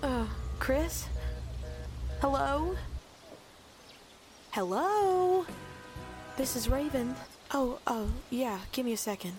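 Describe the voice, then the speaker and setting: A woman's voice: high-pitched, animated, takes it slowly, pauses often, music in the background. A woman playing a wry, conversational late-night radio host in an audio drama, in a small post-apocalyptic town. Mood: uncertain.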